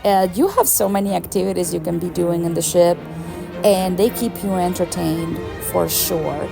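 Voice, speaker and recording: Gravelly-voiced woman vlogging her cruise. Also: on the low side, normal speed, over music. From Venezuela. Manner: chatty, enthusiastic, laughs often. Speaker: travel vlogger. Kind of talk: casual travel vlog voice-over.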